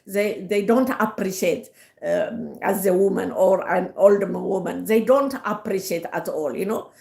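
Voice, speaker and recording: An older Ethiopian woman with an Ethiopian accent, hosting a casual livestream. Her first language is Amharic. She speaks halting English full of fillers and slips quickly into Amharic. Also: mid-range voice, gravelly, darkish tone. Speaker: livestream host. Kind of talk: casual live-stream monologue. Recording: roomy echo.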